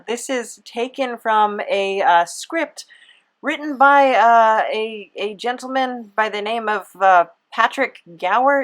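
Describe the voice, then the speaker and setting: A woman hosting a podcast in casual, playful banter with a guest, halting and giggly. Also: a little high, a bit bright. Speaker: podcast host.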